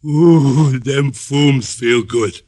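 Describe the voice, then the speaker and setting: Sickly small-time racket boss, stuffed-up, rambling, greedy, mid-range voice, classic radio crime show. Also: male voice, medium pitch, very slow, dark tone. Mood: chatty, distracted. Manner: rambling, distracted, savoring. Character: sickly, chatty, money-grubbing underworld racket boss. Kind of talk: 1940s-50s radio detective drama acting.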